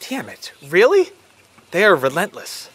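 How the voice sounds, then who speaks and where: A man's voice: sits high, wildly swooping pitch, measured pace, fairly bright tone, slight background noise, heavily acted. An actor playing an affectionate father and dedicated scientist in a naturalistic audio drama. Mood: reflective, warm.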